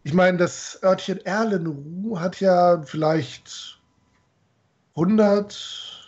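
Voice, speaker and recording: A man with a high voice explains things casually and slowly, in a halting, wry way. He sounds slightly muffled, as on an online call from home. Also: a little mellow. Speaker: stream guest and co-writer. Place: room at home, video call.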